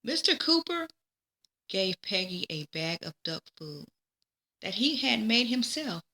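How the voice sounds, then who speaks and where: A woman reading a children's storybook aloud, with expressive, deliberate phrasing. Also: mid-range voice. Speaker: storybook reader. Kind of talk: children's read-aloud narration.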